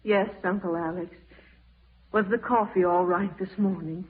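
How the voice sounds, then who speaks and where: An actress playing a meek, evasive, anxiously dutiful wife, in 1940s radio drama style. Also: female voice, lowish voice, steady tone, normal speed, a little hiss or hum, natural voice. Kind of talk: 1940s radio horror acting. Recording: tinny vintage radio broadcast.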